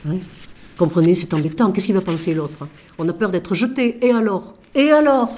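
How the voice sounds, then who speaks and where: A woman, a Franciscan sister and author, talks with feeling about her book, swinging from emphatic questions to quiet asides while she has a cold. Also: on the low side, normal speed. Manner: expressive, shifting from loud emphasis to quiet asides; asks rhetorical questions.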